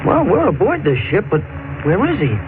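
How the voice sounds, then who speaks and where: An actor playing an eager, simple, loyal detective's sidekick with comic slangy talk in a 1940s radio drama. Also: male voice, sits high, huge pitch swings, brisk. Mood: eager, puzzled. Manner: slangy, comic, uneducated grammar. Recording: very noisy, tinny 1940s radio recording.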